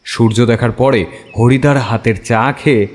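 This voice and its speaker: A man playing a detective's wry, chatty companion who narrates the case as an expressive first-person story. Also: medium pitch, moderate pace, in a large hall, close to an everyday voice. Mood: wry, reflective.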